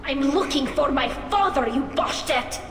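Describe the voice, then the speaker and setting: Earnest alien woman, highish voice. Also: lively intonation, normal speed. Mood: urgent, defiant. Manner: emotional, earnest. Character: earnest, anxious young alien woman, loyal and defiant. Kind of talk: video game voice acting. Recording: echoey hall, crowd and noise behind.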